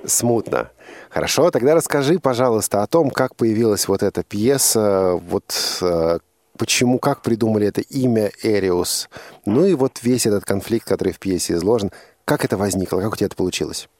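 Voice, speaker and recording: A man's voice: on the low side, lively intonation, fairly quick, darkish tone, no echo, studio quality. A man hosting an informal radio interview, probing by repeating and rephrasing his questions. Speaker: radio host interviewing a young guest.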